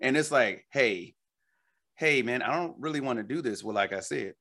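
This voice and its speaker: A man's voice: mid-range voice, expressive, normal speed, pushed and bright. Guy in his 40s cohosting a podcast, easygoing jokey talk. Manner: jokey, drawling storyteller. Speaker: podcast co-host. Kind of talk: casual chat.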